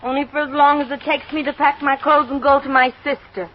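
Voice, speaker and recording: An actress playing a fed-up, sharp-tongued middle-aged wife in an old-time radio drama, speaking emphatically. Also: female voice, high voice, level tone, a little fast, lightly acted. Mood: indignant. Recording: dull old radio recording.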